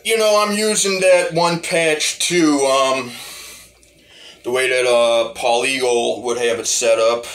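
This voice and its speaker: A man giving a casual, rambling demo of music gear, halting and repeating his phrases as he goes. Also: medium pitch, a bit bright, a little hiss or hum. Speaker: hobbyist musician reviewing talk box gear.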